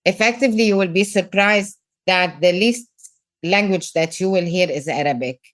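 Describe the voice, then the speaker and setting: A woman's voice: lowish voice, normal speed. A middle-aged woman from Lebanon with a Lebanese accent, a business coach talking earnestly in a podcast interview and repeating words for emphasis.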